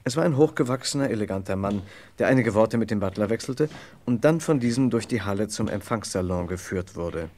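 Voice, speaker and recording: A man's voice: fairly low pitch, expressive, a little fast, darkish tone, a little hiss or hum, lightly acted. A man playing an earnest, inquisitive lawyer in an old German radio crime play, with a precise, formal delivery. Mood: measured narration. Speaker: narrator and lead character. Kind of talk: German radio crime play acting from the mid-20th century.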